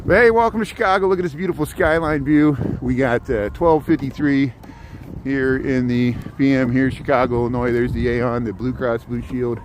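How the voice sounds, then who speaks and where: A man from southern Indiana, mid-pitched, talks his way through a walking livestream in a loose, rambling manner, picking up viewer comments as he goes. He sounds like he is on a phone held while walking, with faint city traffic murmuring outdoors. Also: fairly even intonation, normal speed. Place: outdoors on cold city streets. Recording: faint street noise outdoors. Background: city traffic and street ambience.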